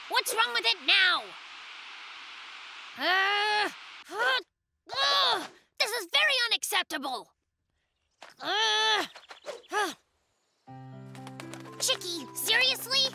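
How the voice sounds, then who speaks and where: A woman voicing a cocky, excitable young boy polar bear in a children's cartoon, boastful and easily exasperated, with lots of exclamations. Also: very high-pitched, takes it slowly, creaky, long pauses, heavily acted. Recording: music bed behind the voice.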